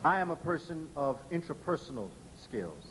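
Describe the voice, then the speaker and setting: Emphatic lecturing man, slightly raised pitch. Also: big pitch swings, fairly bright tone, slight background noise, low-quality recording. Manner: measured, emphatic, spelling out letters slowly. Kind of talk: public lecture.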